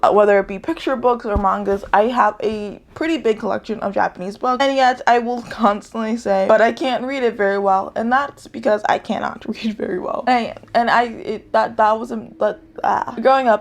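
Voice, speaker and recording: Young woman with a gravelly, rambling chat. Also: mid-range voice. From United States. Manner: rambling, self-correcting, laughs often. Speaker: vlogger. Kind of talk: casual vlog chat.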